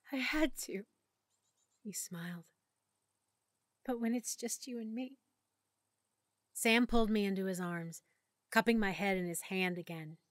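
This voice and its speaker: A woman narrating a first-person audiobook in an intimate, hushed delivery that often drops near a whisper. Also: medium pitch, no echo, studio quality.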